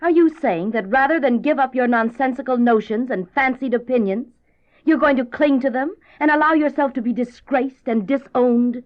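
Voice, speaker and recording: An actress playing a middle-aged mother, formal, measured and firm, in an old-fashioned radio drama style. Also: sits high, close to an everyday voice. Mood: stern, regretful. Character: proper, measured mother of grown daughters, dutiful but questioning. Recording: dull lo-fi cassette radio drama.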